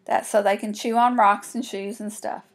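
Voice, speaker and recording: A woman's voice: mid-range voice, flat delivery, moderate pace, lightly acted. A woman voicing a cocky, matter-of-fact country boy in a children's storybook, with an assertive, folksy delivery. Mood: know-it-all.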